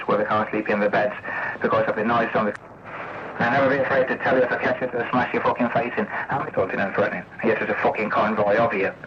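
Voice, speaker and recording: An angry English man ranting confrontationally and repetitively over CB radio, swearing often. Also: lowish voice. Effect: CB radio transmission. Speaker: CB radio user. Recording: noisy, muffled vintage CB radio recording.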